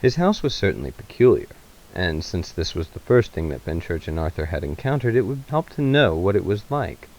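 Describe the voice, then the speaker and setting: A man's voice: deep voice, very animated, brisk, darkish tone, lo-fi sound. A man reading a story aloud as narrator, in a dramatic literary style. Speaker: narrator, reader.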